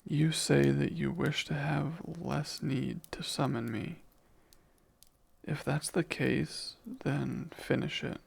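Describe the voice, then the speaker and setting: A man playing a jealous, devoted demon in an intimate ASMR romance roleplay, speaking ardently and deliberately in a breathy, gravelly voice. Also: on the high side, steady tone, full character voice. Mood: insistent, coaxing. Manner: slow, deliberate, ardent, possessive. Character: jealous, devoted male demon confessing love.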